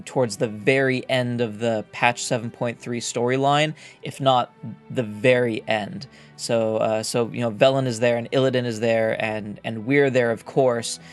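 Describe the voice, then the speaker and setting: A man hosting a gaming video, explaining game lore in a casual, somewhat hesitant way. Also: medium pitch. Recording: voice over background music.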